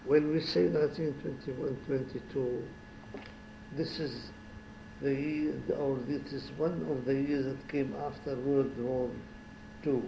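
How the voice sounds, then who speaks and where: A man in his seventies from Syria, a former ambassador giving an oral history interview at home, talking slowly and reflectively with pauses and restarts. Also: medium pitch, fairly even intonation, roomy sound, muffled recording, slight background noise. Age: 70s.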